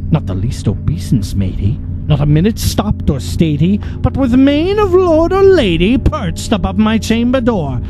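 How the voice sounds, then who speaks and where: Male narrator, slightly high voice, theatrical poetry reading with hushed whispers. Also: huge pitch swings, normal speed, few pauses. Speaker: narrator performing a poem. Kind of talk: dramatic poetry recitation. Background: music.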